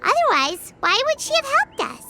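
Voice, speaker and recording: Childlike fairy girl, squeaky, very high, swooping delivery, excitable game companion. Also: moderate pace, heavy background noise, full character voice. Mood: reassuring. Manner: exclamatory, refers to self in third person. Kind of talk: video game character dialogue. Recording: game music behind the voice.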